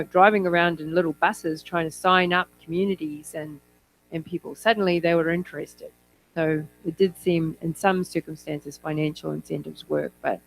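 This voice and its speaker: A man's voice: a little high, low-quality recording. A man from New Zealand speaking as an academic in an informal conference talk, conversational and given to digressive asides. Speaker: academic speaker on health policy.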